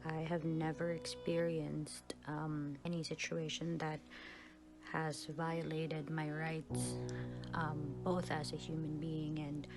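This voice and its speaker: A woman from the Philippines speaking in a calm, measured way about her views. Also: low voice. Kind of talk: personal testimony. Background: music.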